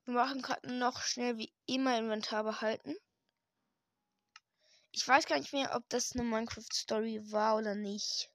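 A hoarse woman hosting a gaming channel, chatting casually and hesitantly while she plays, with lots of fillers and restarts. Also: fairly high pitch, steady tone, moderate pace, dry recording. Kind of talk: casual gameplay commentary. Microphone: desk microphone.

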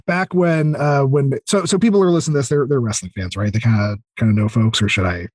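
A man in his thirties chatting casually as a podcast guest, explaining things enthusiastically in a halting way full of fillers. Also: medium pitch, darkish tone, dry recording. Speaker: podcast guest, audio drama creator. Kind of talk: casual interview chat.

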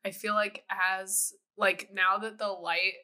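A woman co-hosting a casual podcast, frank and sweary, her voice a little raspy from getting over a cold. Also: medium pitch, flat delivery, slow, sharp tone. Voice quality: slightly raspy. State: recovering from a cold. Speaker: podcast host.

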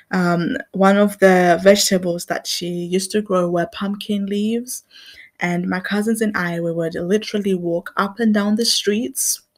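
A young adult woman from Zimbabwe hosting her own podcast, talking in an earnest, reflective way. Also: on the low side. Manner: earnest and reflective, with small laughs. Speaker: podcast host. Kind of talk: personal commentary monologue.

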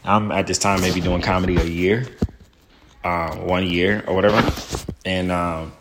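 A young man with a loud, somewhat low voice recounts his early days as a stand-up comedian. He tells it with animated energy and slips into the voices of the people he quotes. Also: measured pace, background noise. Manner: acts out quoted people in bursts. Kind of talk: animated storytelling interview.

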